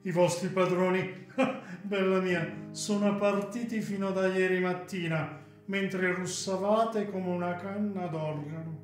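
A man's voice: high voice, steady tone, slow. A man acting a chatty, faintly condescending hotel waiter in a theatrical radio drama. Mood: teasing. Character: chatty, teasing hotel waiter, male adult. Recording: echoey room, faint guitar music behind.

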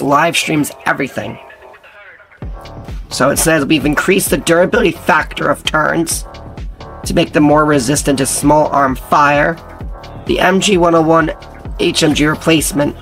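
Hoarse young guy voicing gameplay commentary, slightly high voice, gravelly fry, faint music behind. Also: fairly bright tone, poor audio. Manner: casual, reads aloud with stumbles. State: hoarse, partly lost voice. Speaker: gaming channel host. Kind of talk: casual gameplay commentary reading patch notes.

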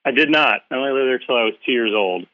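A guest author from the Philadelphia area chatting in an interview, hesitant with lots of uhs but friendly. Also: male voice, medium pitch, fast talker, no room sound. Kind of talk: casual interview chat. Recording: tinny phone-like line, dry.

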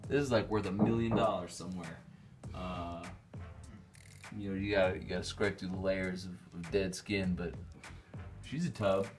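Male vlogger, 30s, lowish voice, dry joking house-tour patter with slangy mock bravado and swearing, faint music. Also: fairly even intonation, moderate pace, long pauses, darkish tone. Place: small rooms of a rundown house.

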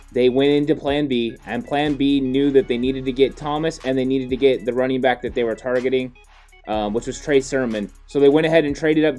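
Steady man breaking down football picks. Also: medium pitch. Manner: steady, explanatory, many "you know" fillers. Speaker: podcast co-host. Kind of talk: casual sports analysis chat. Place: home studio, indoors. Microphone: studio mic on boom arm.

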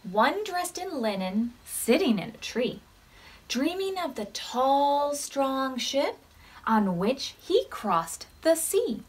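Slow, higher-voiced American woman reading to preschoolers. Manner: expressive, measured reading with questions to young listeners. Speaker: children's librarian leading storytime. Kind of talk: read-aloud storytime for preschoolers.

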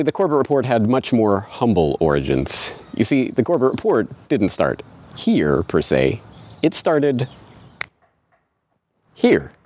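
Lively low-voiced Canadian man hosting. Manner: emphatic, lively delivery with expressive hand gestures. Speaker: independent online journalist and host. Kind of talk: scripted video monologue. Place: small home studio indoors, also outdoors on the street. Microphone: studio microphone on a stand beside the camera.

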